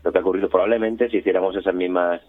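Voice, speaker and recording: A man's voice: low voice, fairly even intonation, rapid-fire. A man with an accent from Spain answering casually in a radio interview, hesitant and often repeating words. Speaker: community radio member, interviewee. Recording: roomy, phone-like remote line.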